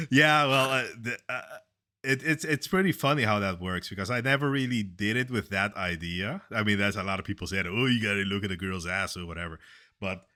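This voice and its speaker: A man with a very low, deep voice and a bright, forceful edge, talking casually on a podcast. He speaks loudly and with strong opinions. He often hesitates with fillers and restarts his sentences. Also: fairly quick. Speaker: podcast co-host. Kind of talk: casual conversation.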